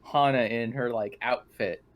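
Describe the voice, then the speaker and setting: A man takes part in a tabletop roleplaying game as a player. He talks casually, in a voice of middle pitch. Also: steady tone, unhurried. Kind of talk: casual game-session chat.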